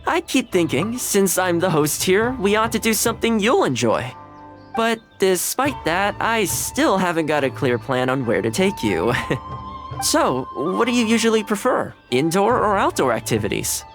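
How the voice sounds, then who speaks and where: An actor playing a friendly, easygoing young male retainer in a video game, talking in a relaxed, chatty way. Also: high voice, normal speed, music in the background. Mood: cheerful. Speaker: game character.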